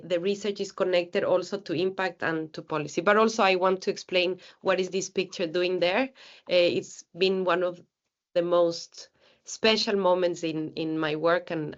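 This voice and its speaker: A woman's voice: mid-range voice, lo-fi sound. A woman from Ecuador giving an earnest, reflective academic seminar talk, often restarting her phrases.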